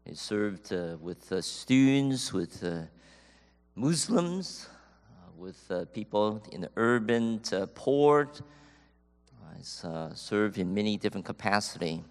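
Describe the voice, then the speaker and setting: A man introducing a guest speaker at a church service, halting with many fillers. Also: lowish voice, animated. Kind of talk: church announcements. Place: church sanctuary. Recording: long reverb of a church hall.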